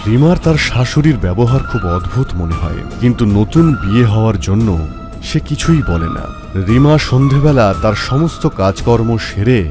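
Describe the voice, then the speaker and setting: A man giving scripted narration of an animated horror story. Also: medium pitch. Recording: music behind the narration.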